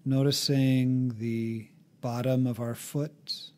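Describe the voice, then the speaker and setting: A man narrating a guided meditation in a calm, gently instructive way, leaving long pauses between phrases. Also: mid-range voice.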